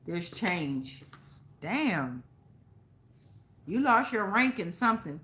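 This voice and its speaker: A woman's voice: medium pitch, big pitch swings, takes it slowly, fairly bright tone. An American woman hosting a casual tarot reading for viewers, speaking in a blunt, slangy way. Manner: blunt, slangy, freely swearing. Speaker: tarot reader and show host.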